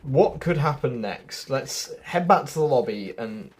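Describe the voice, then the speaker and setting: A man's voice: mid-range voice, lively intonation, measured pace, husky. A man giving casual, chatty gaming commentary, addressing his viewers directly as a video host. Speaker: video game news YouTuber. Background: background music.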